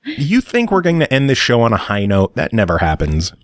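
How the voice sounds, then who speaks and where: A man's voice: mid-range voice, wildly swooping pitch, a little fast, no room sound. A man hosting a podcast in casual chat, wrapping up with dry, self-deprecating jokes. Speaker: podcast host.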